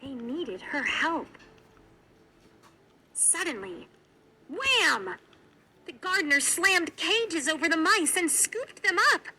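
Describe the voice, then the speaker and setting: A woman reading a children's read-along story in an expressive narrating style. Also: very high-pitched, takes it slowly, long pauses, slight background noise. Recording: music behind the voice.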